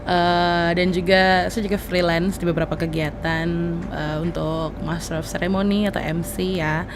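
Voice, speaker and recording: A young woman answers questions as a guest on a TV talk show. She sounds friendly and a little giggly, and she often pauses on "eh" while she explains. Steady background noise runs under the studio sound. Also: fairly low pitch. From Bengkulu city, Indonesia. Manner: friendly and giggly, with frequent filler "eh".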